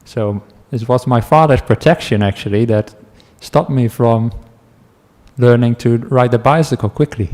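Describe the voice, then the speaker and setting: A man from the Netherlands with a Dutch accent, a meditation teacher giving a relaxed talk to a class, telling stories with asides and small laughs. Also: medium pitch, unhurried. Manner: gentle, chatty storytelling with asides and small laughs.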